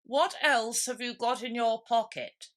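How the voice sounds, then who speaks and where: A woman's voice: on the high side, fairly even intonation, unhurried, fairly bright tone, pitched above the performer's own voice. A woman voicing a solemn, self-important male dodo from a storybook, grave and pompous in manner.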